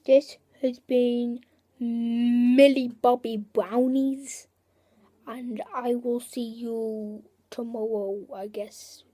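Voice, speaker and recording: High-voiced young woman rambling in a comedy sketch. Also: little pitch movement, mellow. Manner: rambling, halting, many fillers and restarts. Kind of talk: comedic sketch monologue in character.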